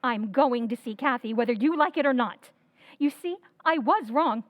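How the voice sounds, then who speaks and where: A woman's voice: fairly high pitch, animated, normal speed, no echo, dull audio, lightly acted. A woman playing an earnest elder sister in an old-time radio drama, speaking in an indignant, demanding way.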